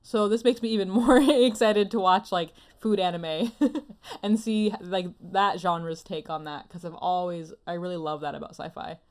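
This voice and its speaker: A woman's voice: lowish voice, moderate pace, darkish tone. A woman co-hosting a podcast, chatting casually in an enthusiastic, giggly manner. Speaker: podcast co-host. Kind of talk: casual chat.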